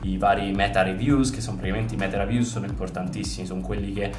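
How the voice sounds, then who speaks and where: A man's voice: deep voice, steady tone, fast talker, darkish tone, far from the mic. A young man in his twenties, a fitness coach chatting casually to camera with lots of fillers, recorded indoors in a bedroom-like room. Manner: chatty, many fillers like "diciamo" and "giusto?". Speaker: fitness coach and video host. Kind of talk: casual explanatory vlog to camera. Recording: roomy sound from away from the mic, faint music behind.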